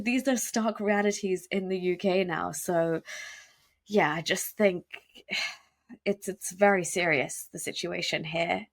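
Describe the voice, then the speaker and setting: Woman guest, emphatic and fast, full of fillers and restarts. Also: mid-range voice. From United Kingdom. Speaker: podcast guest. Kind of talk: conversational interview.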